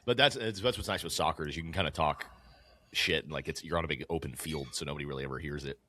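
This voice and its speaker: A man co-hosting a casual sports talk show, with a loud voice that carries, often agreeing and laughing. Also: extremely low pitch, quick pace, a little hiss or hum. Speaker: podcast co-host.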